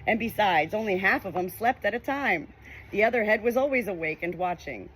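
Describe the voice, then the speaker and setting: Animated woman narrating a children's storybook. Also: mid-range voice, a little fast, a little hiss or hum, rough recording. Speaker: audiobook reader.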